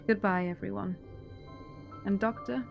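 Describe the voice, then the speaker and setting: A woman plays a wounded survivor in a sci-fi audio drama, bitter yet resilient. She speaks at a middle pitch with intense feeling, over prominent music. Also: unhurried, gravelly. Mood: sad, grateful. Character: grieving, bitter but resilient woman, survivor of a lost ship. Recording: music behind the voice.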